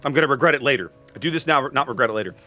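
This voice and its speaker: A man co-casting a livestreamed game tournament from home, loud and easygoing in casual chat, his voice starting to tire. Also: medium pitch, lively intonation, racing, faint music behind. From Texas. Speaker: tournament co-caster and streamer. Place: home streaming setup.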